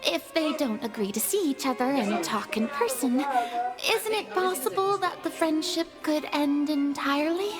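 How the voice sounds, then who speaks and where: A very high, swooping voice brings out a young goddess of justice in a video game. She is proud yet anxious, theatrical and emphatic, with loud music behind her. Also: animated, normal speed. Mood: insistent, defensive.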